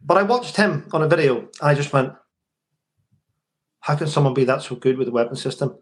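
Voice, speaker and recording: A man in his forties with a fairly high voice, hosting a podcast. He talks in an easygoing, laid-back way and gives friendly, unhurried answers in casual conversation. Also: expressive. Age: 40s. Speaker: podcast host. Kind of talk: casual chat.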